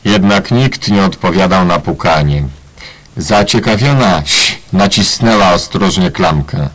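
Male narrator, low husky voice, deadpan ironic storytelling. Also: a little slow. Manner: mock-solemn, tongue-in-cheek. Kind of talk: scripted ironic radio play narration.

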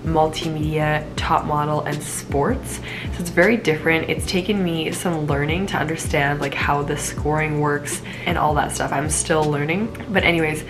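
A woman's voice: deep voice, fairly even intonation, moderate pace, music in the background. Stuffy-nosed young woman chatting to camera. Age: young adult. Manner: bubbly, frequent "like", rambling asides. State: stuffed up with a cold. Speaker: pageant vlogger. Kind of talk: casual chatty review to camera. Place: small indoor room at home.

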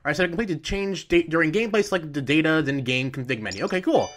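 Animated, chatty gamer giving let's play commentary. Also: medium pitch, quick pace, faint music behind. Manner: chatty, thinking aloud. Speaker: gaming video host.

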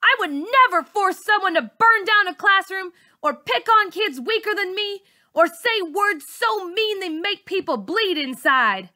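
A woman's voice: very high-pitched, lively intonation, measured pace, hardly any pauses, sharp tone, pitched above the performer's own voice, exaggerated voice. A woman voicing a defiant, wounded young schoolgirl in an audiobook, emphatic and outspoken as she stands up for herself. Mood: defiant, yelling.

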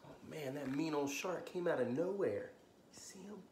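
An American man in his thirties hosting a children's story time, reading aloud expressively with gentle asides to young listeners. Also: a little high, lively intonation, normal speed, a little hiss or hum. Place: living room, on a couch indoors. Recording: roomy, echoey home recording.

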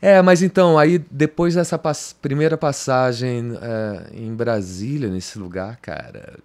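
Man, 50s, from Brazil, podcast guest, rambling with restarts, slangy tags, close studio boom mic. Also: measured pace, a little mellow. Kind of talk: casual interview talk. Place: home music studio, indoors. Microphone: studio mic on boom arm.

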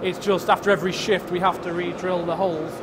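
A man showing a visitor around a factory, explaining the process in a matter-of-fact way with some halting restarts. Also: sits high, little pitch movement. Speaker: factory guide. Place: large factory floor. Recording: noisy factory floor.

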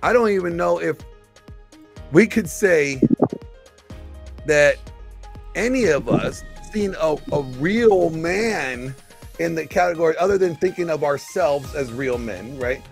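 A man in his forties with a gravelly, creaky voice pitched a little high. He talks haltingly on a video call, circling back and restarting his sentences. Faint music plays behind him. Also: lively intonation. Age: 40s. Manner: halting, full of fillers and restarts. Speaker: podcast host. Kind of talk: casual debate on a video call. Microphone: studio mic on a video call.

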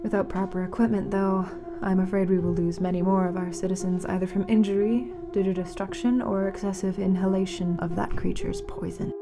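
A woman playing a grave, mournful radio announcer in a fiction podcast, speaking solemnly and dramatically with weighted pauses. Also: medium pitch, fairly even intonation, close to an everyday voice. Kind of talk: scripted fiction-podcast narration as a community radio broadcast.

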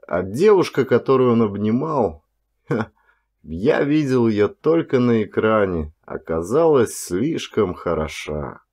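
A man reading a first-person story as an audiobook narrator, in an expressive, dramatic storytelling manner. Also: mid-range voice.

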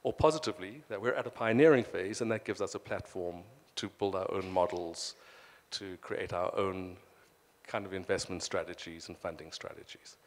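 A man's voice: deep voice, moderate pace. A man moderating a conference panel, speaking hesitantly with many fillers into a handheld mic. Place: conference stage. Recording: long hall reverb.

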